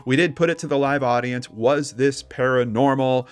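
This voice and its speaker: A man's voice: medium pitch, steady tone, normal speed, clear, resonant tone. A man co-hosting a casual discussion show, chatting and telling stories in an informal, skeptical way. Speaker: podcast co-host.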